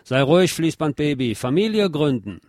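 A man's voice: a little high, sing-song, moderate pace, a little mellow, no room sound, clean studio sound, voice pushed up. A man voicing a stern authoritarian conformist, reading curt, commanding quoted song lines within his narration.

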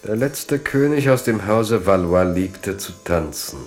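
Solemn guy narrating a literary radio play, really slow and measured, bit of music underneath. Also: on the low side, expressive. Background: faint music.

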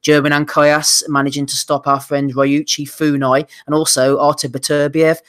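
A man's voice: a little high, completely flat delivery, normal speed, fairly bright tone, clear, resonant tone, no room sound, clean studio sound. A man hosting a casual podcast, steering the talk between topics with teasing asides. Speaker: podcast host. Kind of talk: casual podcast chat about boxing.

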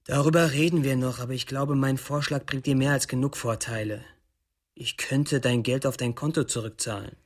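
A man's voice: mid-range voice, fairly even intonation, normal speed, natural voice. Taunting, domineering young criminal played by a man. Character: menacing young male drug-scene rival seizing power. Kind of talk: radio play acting.

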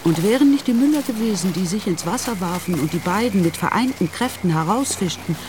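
A man's voice: high voice, animated, a little fast, noisy background. A man narrating a literary radio play in scripted, storybook prose. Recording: music under the voice.

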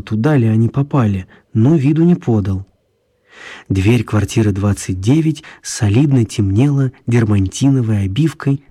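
Narrator: male, mellow and breathy, storytelling for children. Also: medium pitch. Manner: even, storytelling.

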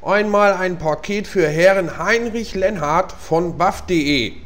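A man's voice: sits high, slow, a bit bright, slight background noise. A man playing an indifferent parcel courier in a comedy audio drama.

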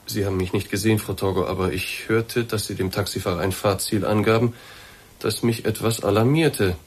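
An actor in a German radio play voicing a formal, persistent male boss, polite, measured and insistent. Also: lowish voice, fairly even intonation, breathy edge. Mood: polite, explanatory.

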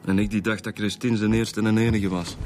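An actor with a Flemish accent playing a matter-of-fact, dryly amused police detective in a radio drama. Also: male voice, lowish voice, little pitch movement, brisk, darkish tone, close to an everyday voice. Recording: music behind the voice.

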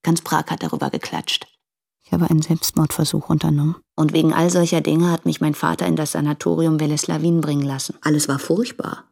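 An actress playing a lonely, despairing young woman who confesses in letters in a radio drama, with a husky, halting, subdued delivery. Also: low-pitched, expressive, darkish tone, heavily acted. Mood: bitter, confessional. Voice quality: breathy, husky with creaky edges.

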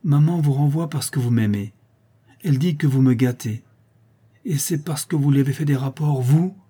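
A man's voice: medium pitch, fairly quick, mellow, slightly rough, close to an everyday voice. A man voicing a proud, stubborn little aristocratic boy in a storybook, with a haughty, vehement delivery. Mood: angry, accusing.

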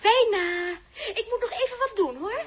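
A woman's voice: extremely high pitch, animated, moderate pace, a little hiss or hum. An actress playing a vain, hot-tempered young woman in a 1960s radio drama, coaxing and easily wounded. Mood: coquettish, excited.

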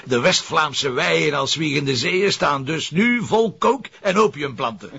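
A gruff Flemish police commissioner with a creaky, gravelly voice. He is blunt, impatient and drily sarcastic, in radio drama style. The recording is somewhat muffled and dull. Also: on the high side, expressive, measured pace, sharp tone, no room sound, heavily acted. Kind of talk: radio crime drama acting.

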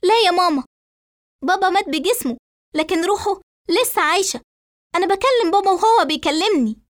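A woman's voice: high-pitched, fast, dry recording, clean studio sound, pitched above the performer's own voice, close to an everyday voice. A woman voicing a fragile but defiant daughter in an audio story, emotional and insistent, with an Egyptian accent. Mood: angry, insistent. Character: defiant, fragile daughter devoted to dead father.

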